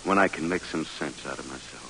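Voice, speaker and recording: An American actor in a 1940s radio drama playing a troubled war veteran and professor, earnest and halting. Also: male voice, rumbling low, fairly bright tone, low-quality recording. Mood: anguished, searching. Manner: earnest, halting, self-reproaching. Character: troubled one-armed war veteran turned geology professor. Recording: hissy old radio broadcast.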